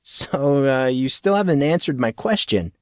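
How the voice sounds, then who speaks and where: A man's voice: mid-range voice, expressive, a little slow, no echo, clean studio sound, pitched above the performer's own voice, natural voice. A man voicing an awkward, self-conscious teenage boy with a hesitant manner in an audiobook. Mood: awkward, curious. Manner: hesitant, stammering.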